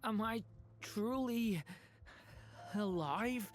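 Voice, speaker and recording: A woman voicing a frightened, frail young boy in a video game, speaking haltingly. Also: a little high. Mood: weak, lost. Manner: slow, halting, breaking phrases apart. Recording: background music.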